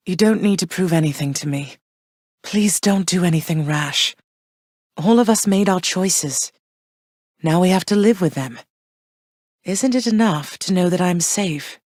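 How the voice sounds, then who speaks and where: An actress voicing Persephone, a gentle, wistful queen of the underworld and a mother, in soft, measured, tender tones. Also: fairly low pitch, dry recording, clean studio sound. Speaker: game character. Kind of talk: game voice lines.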